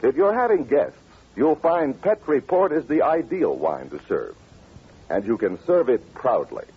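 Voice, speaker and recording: An American man announcing and pitching in 1940s radio style, polished and emphatic. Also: breathy edge. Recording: tinny, scratchy old radio recording.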